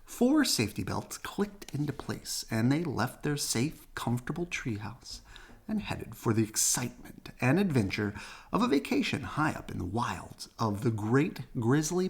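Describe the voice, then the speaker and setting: An expressive reader narrates a children's storybook at an unhurried pace. The pitch moves up and down freely, and the phrasing is deliberate and playful. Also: gravelly, breathy edge.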